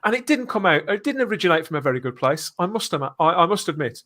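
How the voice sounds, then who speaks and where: A man's voice: fairly high pitch, big pitch swings, fast, bright, forceful tone. A man hosting a live fan podcast, talking in an earnest, emphatic way. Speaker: podcast host.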